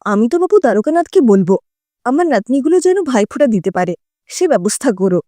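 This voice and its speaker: A fussy, bossy elderly Bengali mother-in-law as a woman storyteller plays her. She grumbles and chides in a homely way, with a lively, swooping pitch and a soft-edged tone, recorded close and dry. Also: a little fast, dry recording. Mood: wheedling.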